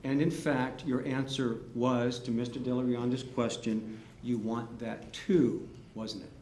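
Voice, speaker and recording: A man's voice: medium pitch, little pitch movement, a little slow, a little mellow. A man working as defense attorney, cross-examining a witness with methodical, leading yes-or-no questions. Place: courtroom. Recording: echoey courtroom, faint hiss.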